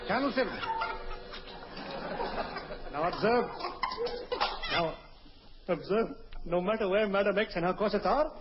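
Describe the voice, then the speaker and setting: A man's voice: sits high, unhurried, pauses often, loud music behind the voice, over a noisy crowd. A man playing a pompous, mock-serious scientist in an old radio comedy sketch, declaiming his demonstration. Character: pompous male scientist-official demonstrating absurd inventions. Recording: old noisy dull radio recording, clicks.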